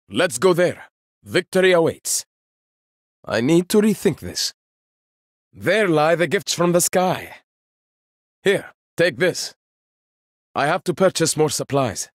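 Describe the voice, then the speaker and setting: Casting: proud young game hero, inventor-warrior, dry wit, slow deliberate delivery, lively pitch, dry close studio sound. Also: male voice, no echo, full character voice. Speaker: game character. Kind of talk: video game voice lines.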